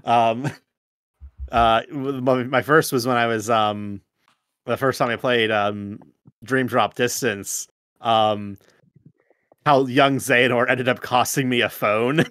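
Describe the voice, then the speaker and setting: A young American man telling anecdotes in a casual group chat, halting and rambling, with some swearing. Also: mid-range voice, steady tone, fairly bright tone, no room sound, clean studio sound. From United States.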